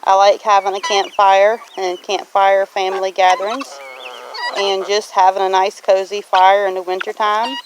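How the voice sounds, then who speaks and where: A middle-aged woman talking in a plainspoken, folksy, reflective way, answering questions casually for a homestead vlog. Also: medium pitch. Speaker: homesteading vlogger. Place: outdoors on a farm homestead. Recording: outdoor noise with clicks, farm geese honking. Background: farm animals, geese honking.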